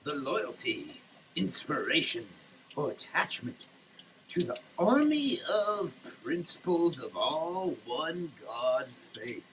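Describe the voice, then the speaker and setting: A man's voice: on the high side, very animated, takes it slowly, a bit bright. A man giving a dramatic reading in an emphatic, declamatory manner. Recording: distant mic, faint noise.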